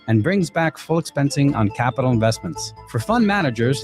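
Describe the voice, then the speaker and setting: Slightly high male scripted read over music. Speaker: accountant giving a sponsored tax tip. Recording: music bed under voice.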